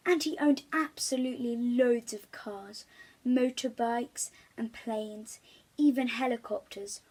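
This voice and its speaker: A woman's voice: a little high, a little mellow, husky, poor audio. A woman reading a children's storybook aloud, expressively, stressing key words.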